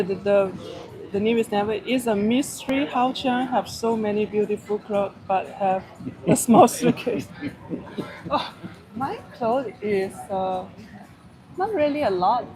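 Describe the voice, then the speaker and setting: A woman's voice: mid-range voice, measured pace, poor audio. A woman co-hosting a live travel vlog, chatting casually in simple, broken English over breakfast. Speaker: vlog co-host. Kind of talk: casual live-stream chat. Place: restaurant at breakfast with crowd around. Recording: busy crowd chatter behind.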